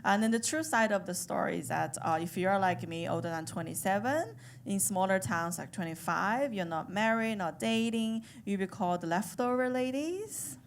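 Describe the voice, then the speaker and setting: A woman in her thirties from China with a Chinese accent, whose first language is Chinese, doing a stand-up comedy set with deadpan, self-mocking delivery. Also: mid-range voice, moderate pace, slight background noise. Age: 30s. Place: comedy club stage.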